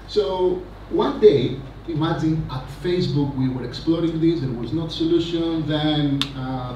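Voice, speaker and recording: Man talking in an echoey hall. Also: on the high side. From Greece. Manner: energetic, rambling with many fillers and asides. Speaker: conference speaker. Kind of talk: conference talk. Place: large conference hall. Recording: echoey hall, mic from a distance, noisy.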